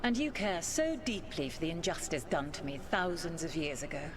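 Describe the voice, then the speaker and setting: An actress voicing an ancient, imperious goddess in a fantasy video game, with formal, stately diction. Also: female voice, low voice, big pitch swings, brisk, background noise. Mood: challenging. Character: ancient elven goddess, regal, imperious, testing judge of worth.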